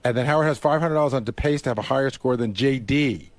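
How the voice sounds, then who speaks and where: A man's voice: mid-range voice, fast. A man who is a regular on a radio show, talking casually and arguing his points assertively. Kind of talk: casual studio discussion.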